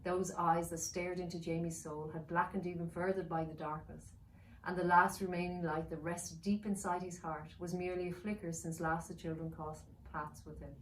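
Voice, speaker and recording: A woman's voice: fairly low pitch, little pitch movement, moderate pace. Woman reading a story in an echoey room. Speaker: author reading her novel. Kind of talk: dramatic storybook narration. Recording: roomy, distant mic with faint noise.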